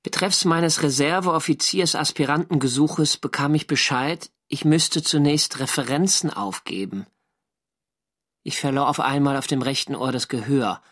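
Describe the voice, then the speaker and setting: A measured wartime sailor diarist. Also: male voice, a little high, fairly bright tone. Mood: wry, reflective. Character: young navy sailor narrating his wartime diary, earnest and wry. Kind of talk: scripted first-person radio drama narration.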